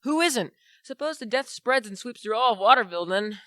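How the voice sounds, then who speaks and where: A woman's voice: a little high, lively intonation, a little fast, a bit bright, no room sound. Woman playing an earnest young man, very dry sound. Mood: earnest, uneasy. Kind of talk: amateur radio play acting.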